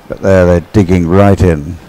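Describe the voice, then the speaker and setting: A man's voice: low-pitched, level tone, moderate pace, mellow. A man giving casual, explanatory live race commentary as a sports commentator.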